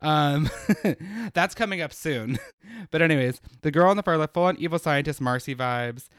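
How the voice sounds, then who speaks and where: A man's voice: a little high, lively intonation, moderate pace, a bit bright, clear, resonant tone, dry recording, clean studio sound. A man co-hosting a podcast, rambling and giggling through casual chat with plenty of fillers. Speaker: podcast co-host.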